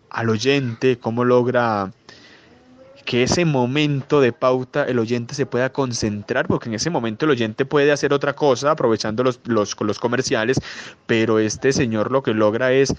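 A man's voice: medium pitch, no room sound. Colombian host guy asking questions, dry muffled audio. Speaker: interviewer host. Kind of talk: radio interview questions.

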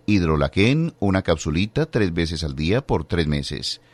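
A man reading a radio product advertisement, listing doses in a brisk, lilting way. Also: sits low, very animated, breathy edge, dry recording. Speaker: advertisement announcer.

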